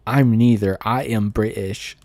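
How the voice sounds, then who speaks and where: A man's voice: lowish voice, a little slow, a little mellow, no room sound, studio quality. A man with a Southern American accent chatting informally and jokingly on a live stream as he answers comments. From United States.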